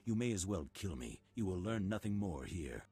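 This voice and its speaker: An actor playing a grave, self-sacrificing Jedi master in a video game, speaking in a solemn, formal, measured way. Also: male voice, sits low, very animated, mellow, husky, heavily acted. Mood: calm resignation. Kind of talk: scripted video game acting. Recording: music behind the voice.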